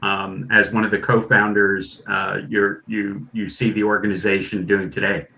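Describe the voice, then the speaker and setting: A man's voice: on the low side, little pitch movement, moderate pace, vocal fry, bright, forceful tone, poor audio. A man interviewing a guest, rephrasing his question as he goes.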